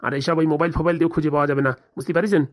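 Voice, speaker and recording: Casting: female performer for scheming male cartoon lawyer, low register, very quick, reassuring smooth talker. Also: steady tone, close to an everyday voice. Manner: glib, reassuring. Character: smooth-talking, scheming male lawyer, placating his boss. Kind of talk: comedy cartoon dialogue.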